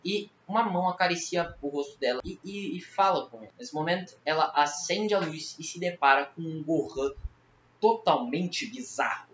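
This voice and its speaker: A man's voice: a little high, animated, moderate pace, a bit bright. A man with a Brazilian Portuguese accent telling a story as a casual, slangy recap. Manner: colloquial, slangy. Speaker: video creator recapping a fan horror series. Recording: roomy echo, muffled, faint noise.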